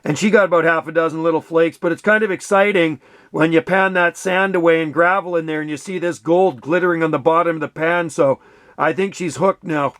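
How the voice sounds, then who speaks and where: A man's voice: on the high side, steady tone, brisk, a bit bright, no echo, studio quality. A man chatting casually and enthusiastically as an amateur radio operator. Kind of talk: casual chat over radio.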